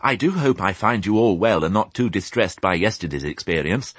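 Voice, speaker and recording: A man's voice: fairly low pitch, lively intonation, a little fast, husky, no echo. A man voicing a very courteous, earnest young piglet in an audiobook. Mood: cheery, polite. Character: polite, earnest, eager young male piglet. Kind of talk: audiobook character voice.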